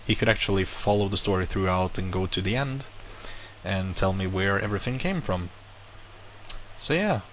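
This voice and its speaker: A low-pitched man whose first language is not English talks casually to his viewers, rambling through a personal story and stopping to reword himself. A faint hum of room ambience sits under his voice. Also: normal speed, rough recording. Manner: rambling, self-correcting, animated retelling. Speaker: vlogger. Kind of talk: casual monologue to camera.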